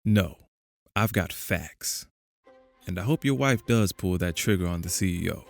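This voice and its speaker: Man acting Carlos, a slow, sardonic conspiracy believer. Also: deep voice, animated, vocal fry, soft background music, full character voice. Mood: insistent, challenging. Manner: sardonic, accusing, emphatic. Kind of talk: audio drama, naturalistic phone conversation.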